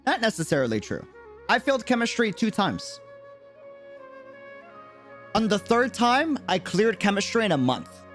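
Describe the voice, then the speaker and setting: High-pitched, animated male livestreamer. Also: measured pace, pauses often, faint music behind. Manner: conversational, earnest, explaining by examples. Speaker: game livestreamer. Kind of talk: casual livestream commentary.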